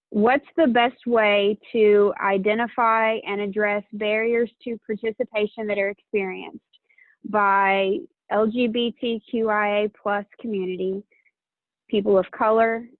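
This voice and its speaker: A woman moderating a discussion, reading out a question in a measured, formal way. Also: medium pitch, no room sound. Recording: thin phone-like call audio.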